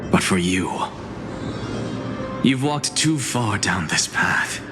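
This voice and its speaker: An actor playing a grave, reproachful man in a video game, speaking solemnly and deliberately. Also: sits low, animated, in a large hall, over music. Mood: grave, urging. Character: grave, reproachful man, calmly challenging a fallen savior. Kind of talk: dramatic video game acting.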